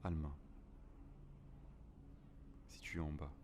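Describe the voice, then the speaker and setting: Male actor as lonely apocalypse survivor, low pitch, mournful dramatic narration, audio drama, noticeable ambient noise. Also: little pitch movement, takes it slowly. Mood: mournful, wistful. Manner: somber, melancholic, dramatic delivery.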